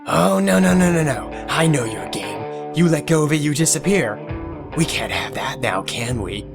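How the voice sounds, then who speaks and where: A man's voice: fairly high pitch, expressive, moderate pace, gravelly, music in the background. A man voicing a smug, menacing gang thug in an audio drama, taunting and gloating. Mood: taunting menace.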